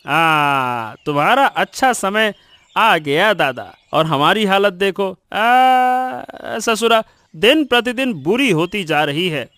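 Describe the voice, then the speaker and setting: A man's voice: high-pitched, sing-song, takes it slowly, bright, forceful tone, dry recording, full character voice. A man voicing a dejected younger villager down on his luck, plaintive and complaining, for a cartoon story.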